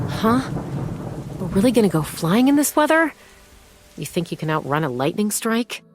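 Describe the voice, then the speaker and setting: A woman voicing a confident young female gunslinger and peacemaker in a video game, with a cool, measured, self-assured delivery. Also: low-pitched, full character voice. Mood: energized. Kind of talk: scripted game character voice lines.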